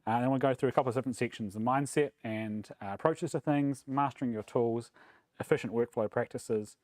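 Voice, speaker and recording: A man from New Zealand with a New Zealand accent, giving a relaxed, self-deprecating talk at a developer meetup, with plenty of ums and uhs. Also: medium pitch, fairly quick, dry recording. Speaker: conference speaker. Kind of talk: casual tech meetup talk. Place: meetup room.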